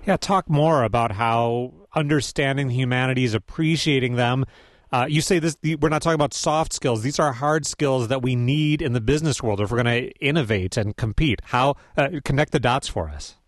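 A man hosting a radio show in the studio, introducing a guest and asking clear, conversational questions. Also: medium pitch, moderate pace, no echo. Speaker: radio host. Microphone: studio mic.

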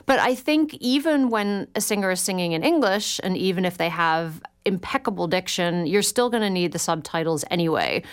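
A woman with a smooth, resonant voice, pitched a little low, speaks as a guest on a radio call-in show. She talks in an articulate, thoughtful way and sounds enthusiastic. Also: animated, normal speed, few pauses. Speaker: guest author.

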